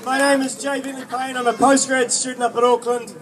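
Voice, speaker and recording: Young male student activist, emphatic rally oratory, strikingly high pitch, distant outdoor recording. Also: flat delivery, brisk, a loud crowd. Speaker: student movement speaker, postgraduate student. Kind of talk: prepared political rally speech. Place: outdoors at a street march. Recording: distant outdoor capture with faint noise.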